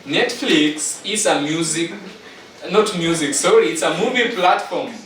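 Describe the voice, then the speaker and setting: A man's voice: on the high side, measured pace, fairly bright tone, a little hiss or hum. A nineteen-year-old man with a Kenyan accent, a guest speaking earnestly in a casual interview and often listing things. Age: young adult. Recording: echoey room from far off with chatter behind.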